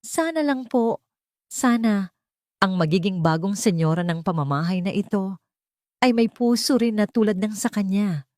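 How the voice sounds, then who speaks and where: A woman's voice: mid-range voice, animated, moderate pace, pauses often, a little mellow, no room sound, lightly acted. Woman plays older housemaid: loyal, respectful, wistful, earnest, dry studio sound, audio drama. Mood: wistful, hopeful.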